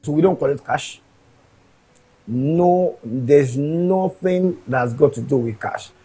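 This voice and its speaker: A man, an agency official explaining procedures in a measured way. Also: a little high, warm tone, husky, low-quality recording. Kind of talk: news interview answers.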